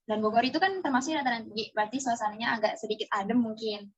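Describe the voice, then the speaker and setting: A woman's voice: fairly high pitch, fast, husky, lo-fi sound. A young woman from East Java answering interview questions in a chatty way, with many hesitations and fillers. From East Java, Indonesia.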